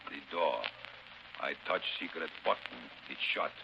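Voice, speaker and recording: An actor playing a gloating, cruel foreign sea captain in a 1930s radio drama, speaking taunting broken English with a foreign accent. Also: male voice, rumbling low, unhurried, creaky, big-room sound, muffled recording, heavily acted. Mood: menacing, taunting. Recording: noisy vintage 1930s radio recording.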